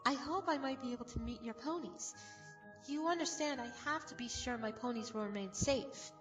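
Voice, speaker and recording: A woman voicing an earnest, trusting young pony in an animated fan dub, with a gentle, polite manner. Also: on the high side, moderate pace, far from the mic, music in the background, natural voice. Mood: polite, hopeful.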